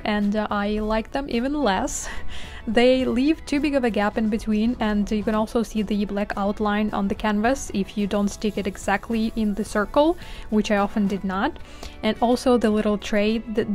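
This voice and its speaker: Chatty woman with a higher voice. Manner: chatty, laughs often, mildly exasperated. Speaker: craft vlogger. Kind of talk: casual voice-over commentary. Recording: voice-over with faint music behind.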